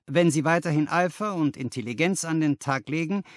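A man voicing a brisk, patronising company boss in an audiobook, businesslike and used to giving orders. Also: a little high, no room sound, voice pushed up, close to an everyday voice. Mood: brisk, commanding. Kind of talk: audiobook character dialogue.

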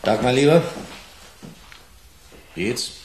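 An actor playing an informal, probing police detective in a radio crime drama. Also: male voice, medium pitch, lively intonation, slow. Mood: familiar. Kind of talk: naturalistic 1980s German radio crime drama acting. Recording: echoey, slight noise.

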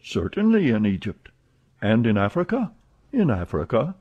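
A man voicing a suspicious adult detective who asks measured, probing questions, in a dull lo-fi recording. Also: fairly low pitch, huge pitch swings. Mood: calm.

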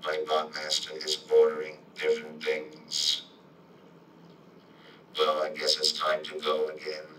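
An echoey, distant and very noisy recording of a synthetic male robot. The voice is utterly flat and stiff, reciting corny, friendly remarks with deadpan delivery. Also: rumbling low, unhurried, long pauses. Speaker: robot character presenter. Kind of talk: scripted robot monologue. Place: computer speaker playback in a room. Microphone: distant handheld camera mic. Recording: echoey, noisy playback filmed from off.

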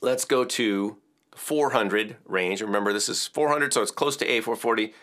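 A man in his fifties with a bass-baritone voice, casually explaining audio equipment in a home studio. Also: normal speed. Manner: relaxed, chatty explaining with gestures and small laughs. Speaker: music educator. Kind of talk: hands-on audio tutorial. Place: home music studio, indoors. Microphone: headphones on, studio mic off camera.

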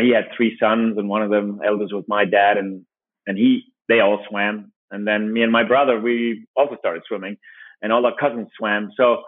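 Podcast guest: a low-voiced Danish man, halting and thoughtful, heard over a tinny remote line. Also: no echo. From Denmark. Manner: thoughtful, halting, with frequent restarts. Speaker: guest, company founder. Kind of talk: relaxed interview answers. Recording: dry, tinny phone-like line.

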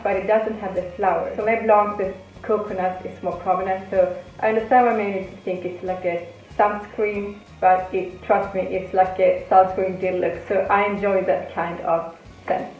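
A woman's voice: medium pitch, fairly even intonation, normal speed, a little mellow, roomy sound, lo-fi sound. A woman in her thirties, a perfume vlogger, chatting casually and upbeat about her favourite scents. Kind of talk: casual vlog chat.

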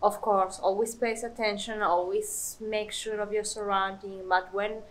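A woman's voice: medium pitch, little pitch movement, unhurried, slight background noise, low-quality recording. A young woman vlogging casually to the camera, chatty, with lots of fillers and restarts. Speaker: travel vlogger. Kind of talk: casual vlog talk to camera.

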